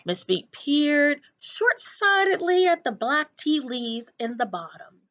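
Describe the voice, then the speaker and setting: Woman, teacher, high pitch, very lively intonation, children's read-aloud narration. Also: slow. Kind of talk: expressive children's story narration.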